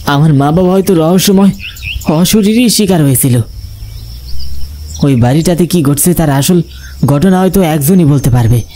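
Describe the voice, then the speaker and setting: A man's voice: on the high side, warm tone, natural voice. A man playing a sombre, bereaved man in a cartoon horror story, explaining earnestly. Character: sombre bereaved man recalling his parents' mysterious deaths. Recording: faint outdoor insect and bird noise.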